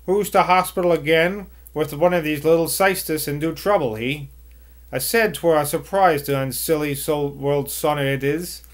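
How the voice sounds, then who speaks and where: A shrill, scolding old servant woman rattles on in broad West Country speech, loud and defiant, as a man puts her on. Her voice rides higher and louder than the reader's own, full of stubborn rustic grumbling from a storybook. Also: natural voice. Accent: put-on West Country rustic dialect. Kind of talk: amateur audiobook reading.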